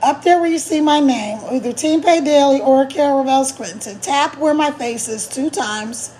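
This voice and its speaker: A middle-aged woman, a little hoarse, chatting casually on a live stream as an online marketing coach, emphatic and repeating her key points. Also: sits high, moderate pace, a little mellow, slight background noise, rough recording.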